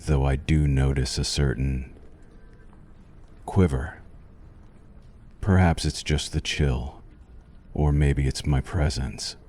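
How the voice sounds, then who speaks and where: A man playing a suave, possessive vampire doctor in an ASMR roleplay, speaking formally, with teasing and quiet menace. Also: a bass voice, steady tone, takes it slowly, pauses often. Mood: soothing, teasing. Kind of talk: ASMR roleplay, scripted monologue to the listener.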